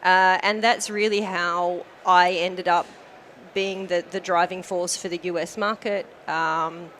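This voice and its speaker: A woman's voice: on the low side, steady tone, unhurried, fairly bright tone, a little hiss or hum. A woman from Australia with an Australian accent, chatting as a guest on a podcast interview, talkative with frequent fillers. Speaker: guest, company manager.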